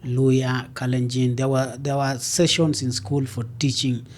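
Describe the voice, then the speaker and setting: A man's voice: mid-range voice, measured pace, a little hiss or hum. A man with a Kenyan accent hosts a podcast interview and keeps murmuring "mm-hmm" and "yeah" in agreement. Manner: steady stream of affirming "mm-hmm" and "yeah". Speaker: podcast host. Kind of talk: interview conversation.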